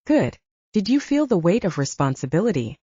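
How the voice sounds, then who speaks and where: Woman reader as blunt female mentor, audiobook fiction, mellow, lively, dry lo-fi sound. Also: lowish voice, a little fast, breathy edge, no echo. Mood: frank, approving. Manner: blunt, commanding, coaching. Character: brisk, frank female supernatural investigator and mentor. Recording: dull lo-fi, very dry.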